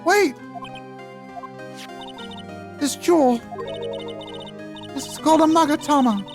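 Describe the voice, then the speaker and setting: A woman's voice: sits high, slow, music in the background, pitched above the performer's own voice. A woman voicing a distraught, guilt-ridden teenage girl, a fragile spirit medium in a video game, earnest and emotional.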